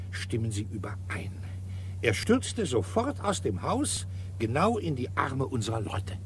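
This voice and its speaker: An actor playing a shrewd, sardonic, dogged police inspector in a German radio crime drama, commanding and dry with muttered asides. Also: male voice, medium pitch, slight background noise.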